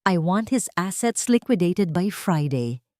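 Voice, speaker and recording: A woman's voice: on the low side, animated, unhurried, a little mellow, no echo, studio quality. A woman voicing a cold, ruthless male billionaire in a story, with curt commands. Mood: cold, commanding. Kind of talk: storybook character dialogue.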